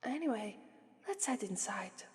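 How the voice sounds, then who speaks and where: A woman with an Italian accent voicing a shy, affectionate young demon princess bride in an ASMR roleplay. She speaks gently and softly, often drops to a whisper, and falters and restarts when she is nervous. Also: medium pitch, expressive, measured pace, breathy edge, no echo. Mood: wistful. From Italy. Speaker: voice actress.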